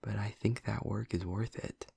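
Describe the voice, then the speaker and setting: A man playing a gentle, earnest vampire boyfriend in an intimate audio roleplay, speaking softly and haltingly in a husky, breathy, hushed voice. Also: fairly low pitch, fairly even intonation, no echo, full character voice. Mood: earnest, resolute. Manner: halting, soft-spoken, confiding with long pauses. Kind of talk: intimate audio roleplay, ASMR-like soft delivery.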